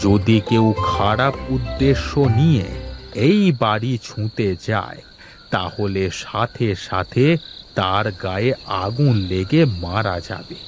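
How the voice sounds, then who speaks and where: A man's voice: fairly low pitch, slow. A man voicing a kindly, grandfatherly old magical beggar who reassures and protects in a fairy-tale cartoon. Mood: reassuring, solemn. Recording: loud music behind the voice.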